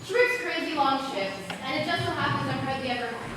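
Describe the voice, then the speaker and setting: A woman's voice: sits high, quick pace, recorded from a distance, noisy background, close to an everyday voice. A woman playing a guarded, defiant, neglected teenage schoolgirl in an amateur stage drama, recorded on an echoey stage. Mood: wounded, resigned. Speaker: actor in a school play.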